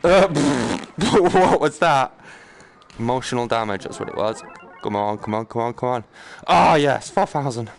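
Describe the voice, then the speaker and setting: Gravelly man commentating on his gaming. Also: medium pitch, animated, a little slow. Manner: self-deprecating, jokey muttering to himself; exclaims and talks to the game. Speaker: gaming streamer. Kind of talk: casual live game commentary. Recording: noticeable background noise, game ambience.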